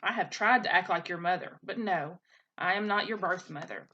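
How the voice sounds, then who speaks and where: A woman voicing a patient, protective robot mother in a storybook, with formal, measured, literal speech. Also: fairly low pitch, sharp tone, dull audio. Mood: gentle, steady.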